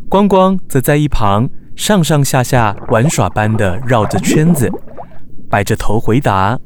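Animated man narrating a children's story. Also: medium pitch, measured pace, background noise. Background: music.

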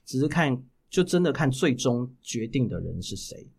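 A man with a Taiwanese Mandarin accent talks as a podcast guest. His mid-pitched voice swings up and down in lively fashion. He explains his points in a casual way, often repeating and rewording a phrase to stress it. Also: moderate pace, creaky, breathy edge. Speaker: guest, voice actor.

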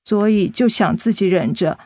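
An actress playing a gentle, guilt-ridden young woman in a Mandarin radio drama, apologetic and hesitant, with a Taiwanese accent. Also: mid-range voice, moderate pace, no echo, studio quality, natural voice. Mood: apologetic, pained.

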